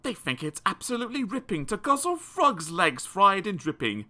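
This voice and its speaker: A man's voice: high voice, normal speed. Theatrical, swooping male verse reader. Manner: theatrical, expressive. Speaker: audiobook reader. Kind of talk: dramatic children's verse narration.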